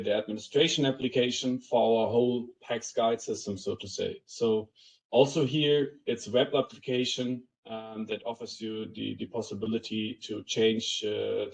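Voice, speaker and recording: A man presenting a software product in an explanatory way, hesitant and halting, with many ums and uhs. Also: on the low side, creaky, low-quality recording.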